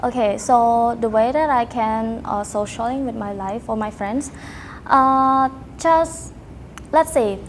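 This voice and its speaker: High-voiced young Cambodian woman, halting speech. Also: slight background noise. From Cambodia, Battambang province. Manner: halting, searches for words in non-native phrasing. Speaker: podcast guest, teacher and student. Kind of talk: casual interview answers.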